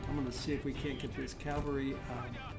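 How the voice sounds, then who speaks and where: Guy doing strategy game commentary, bit scratchy, thinks out loud, music going behind him. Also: medium pitch, flat delivery, a little fast. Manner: thinking aloud, hesitant, throat-clearing. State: slightly scratchy voice. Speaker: host of a gaming channel. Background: video game music.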